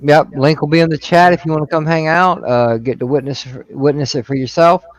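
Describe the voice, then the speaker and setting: A man's voice: on the high side, steady tone, brisk, no echo. A man hosting a casual live stream, slightly tipsy, talking loosely and cursing freely as he wraps up. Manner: loose and profane, rambling sign-off. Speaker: stream host.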